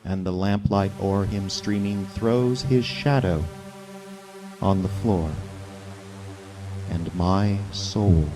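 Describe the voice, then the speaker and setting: A man playing a grief-stricken, haunted man in a theatrical recitation of gothic verse, moving between hushed brooding and anguished cries. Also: deep voice, takes it slowly, long pauses, over music. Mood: hopeless, desolate. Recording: live stage reading with sound effects.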